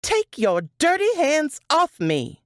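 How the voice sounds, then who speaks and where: A spiteful young woman from an audiobook, acted out by a woman narrator, raised in pitch and smirking as she taunts and accuses. Her delivery is indignant and swings up and down, recorded close and dry. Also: wildly swooping pitch, slow pace, no room sound, exaggerated voice. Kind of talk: audiobook character acting.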